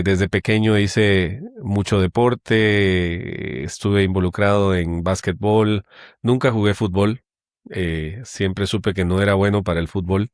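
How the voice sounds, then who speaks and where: A middle-aged man from Guatemala, a guest telling his story in a relaxed interview, pausing often with hesitations. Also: deep voice, clear, resonant tone, dry recording, studio quality. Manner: measured, with many hesitations. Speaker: guest, company general manager. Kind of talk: conversational interview.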